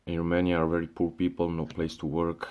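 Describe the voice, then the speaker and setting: A young man from Syria rants to his camera with a very low voice. He talks casually and loosely, tripping over restarts and fillers, dropping swear words and "bro" as he goes. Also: monotone, a little fast, darkish tone, clean tone. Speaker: vlogger. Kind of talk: casual ranting monologue.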